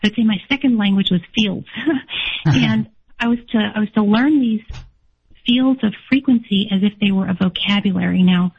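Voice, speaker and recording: Hoarse, gravelly woman on a tinny line. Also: medium pitch, normal speed. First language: German. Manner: earnest, with many restarts. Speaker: interview guest. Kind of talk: personal storytelling in an interview.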